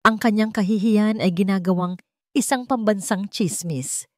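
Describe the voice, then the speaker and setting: A woman's voice: mid-range voice, moderate pace, no room sound, clean studio sound. A woman reading a scripted dramatic story as its narrator.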